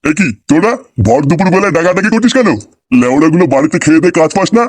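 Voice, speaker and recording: Guy doing old bengali cartoon grandpa, doting but crude and blustery, talks fast. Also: a little high, lively intonation. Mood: irritated, threatening. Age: older. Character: foul-mouthed old Bengali grandfather, doting yet combative. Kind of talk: comic cartoon dubbing.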